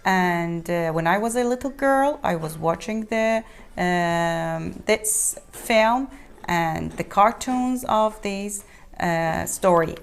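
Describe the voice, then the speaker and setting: A woman reads a children's story aloud, haltingly, repeating and explaining words as she goes. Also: lowish voice, vocal fry, smooth. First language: not English.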